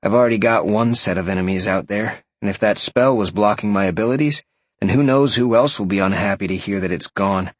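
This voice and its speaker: Man playing a casual young guy, low-voiced. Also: a little fast, no echo, natural voice. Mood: candid, wary.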